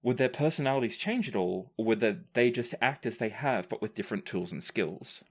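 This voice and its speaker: Low-pitched man with lively, swinging intonation. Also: fairly quick. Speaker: game master and podcast host. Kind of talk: reading and answering listener questions.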